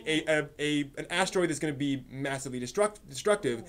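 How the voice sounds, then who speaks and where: Male review host, slightly high register, casual and explanatory, halting delivery with emphatic asides. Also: animated, fairly bright tone. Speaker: host reviewing anime on a live stream.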